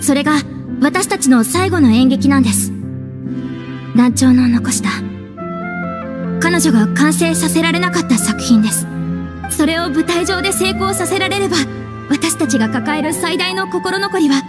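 A woman's voice: high-pitched, moderate pace, pauses often, lightly acted. A woman playing an earnest, respectful theater troupe member in an anime game, speaking politely. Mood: earnest, wistful. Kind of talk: Japanese anime game acting. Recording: prominent music behind the voice.

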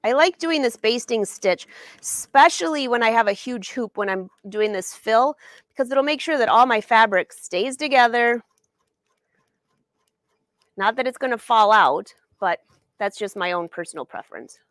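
Brief: woman in her 40s, sewing teacher on a livestream, friendly and chatty, slightly high voice, dry close sound. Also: animated, moderate pace, fairly bright tone, no echo. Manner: chatty and upbeat, addresses viewers by name. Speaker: sewing educator and brand ambassador. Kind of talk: live-streamed sewing lesson answering viewer questions. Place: sewing studio indoors.

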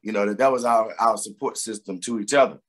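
Homem de meia-idade com sotaque da Filadélfia. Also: male voice, on the low side, fast. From Philadelphia, USA. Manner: relaxed, rambling, many fillers and restarts. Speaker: singer and guest. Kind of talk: casual interview chat. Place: home room with a door behind. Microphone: video call webcam.

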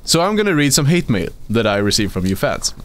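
A man's voice: medium pitch, sing-song, fairly bright tone. A man from Sweden giving casual, joking commentary while playing a video game. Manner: laid-back, joking, drawn-out delivery. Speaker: let's play commentator.

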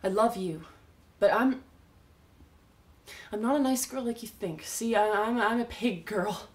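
A woman performing a theatrical monologue as an unstable, self-loathing young woman, confessing in a halting, volatile way. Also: mid-range voice, close to an everyday voice. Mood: agitated, self-loathing. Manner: halting, stammering confession swinging between whispers and cries.

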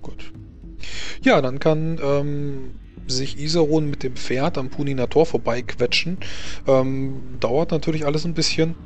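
Halting man running a tabletop game. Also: a little high, fairly even intonation, faint music behind. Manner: halting, hesitant, thinking aloud. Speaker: game master. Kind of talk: narrating an online tabletop role-playing game.

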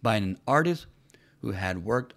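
A man's voice: lowish voice, expressive, a little slow, vocal fry, a bit bright. A man giving mocking commentary on entertainment news, sarcastic and fond of repeated rhetorical questions. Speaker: commentary channel host.

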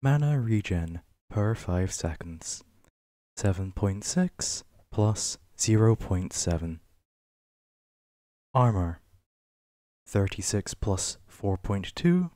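A man with a fairly low voice reads written entries as ASMR, very slowly and with deliberate pauses. His pitch rises and falls noticeably from item to item, and the recording is close and dry. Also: big pitch swings, warm tone, dry recording. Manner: measured, list-like reading with pauses. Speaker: ASMR reader. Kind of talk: ASMR reading of a game wiki page.

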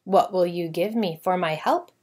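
A woman's voice: fairly low pitch, moderate pace, darkish tone, close to an everyday voice. A woman voicing a sly, bargaining male jungle animal in a children's storybook.

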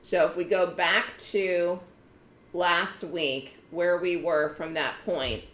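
A hoarse woman with a bright, edgy voice that sits a little low lectures her online trading room. She talks in a chatty way and repeats key words for emphasis. The sound comes through a webinar mic with a roomy echo. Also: steady tone, slow. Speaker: trading educator running a trading room.

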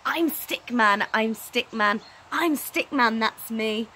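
A woman acts out a little stick man from a children's storybook, protesting in a high, bright voice. He is indignant and pleading, and every line comes out emphatic. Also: slow, a little hiss or hum.

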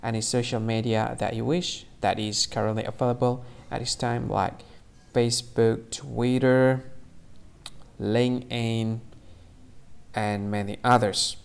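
Gravelly man explaining in simple words. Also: mid-range voice, level tone. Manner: repetitive, simple wording, slightly halting. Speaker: quiz answer channel host. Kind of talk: plain scripted explainer.

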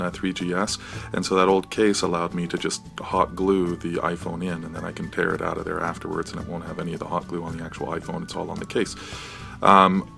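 A man's voice: vocal fry, a bit bright. An American man giving a casual, hesitant, off-the-cuff walkthrough of his DIY project. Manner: hesitant, off-the-cuff, low-key.

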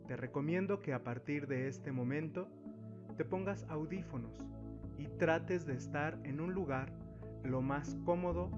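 A man narrating a guided meditation as a spiritual guide, giving deliberate instructions in short phrases with long pauses. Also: medium pitch. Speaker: spiritual therapist, meditation guide and channel host. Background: background music.

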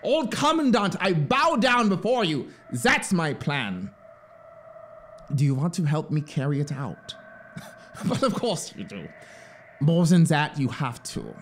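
A man's voice: fairly high pitch, sing-song, takes it slowly, a little hiss or hum, voice pushed up, full character voice. A man voicing a fanatical, scheming officer in a dramatic story reading, pleading and grandiose, with a theatrical, urgent manner. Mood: fervent, exalted, then coaxing. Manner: theatrical, urgent, swinging between hushed asides and exclamations.

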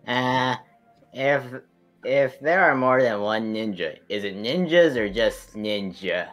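A man with a very lively, mid-pitched voice jokes and swears through a loose fan table read. Music plays clearly in the background of the voice chat. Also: takes it slowly. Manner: joking, swears, loose line reading. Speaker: table read participant voicing Cole.

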